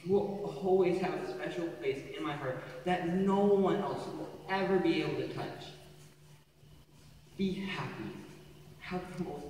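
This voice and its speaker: A man's voice: fairly high pitch, unhurried, darkish tone, close to an everyday voice. A young man acting a wistful, earnest younger brother in a school stage play, saying goodbye to his sister. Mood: tender. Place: school theatre, stage performance. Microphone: distant audience camera. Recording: echoey hall from far off, faint noise.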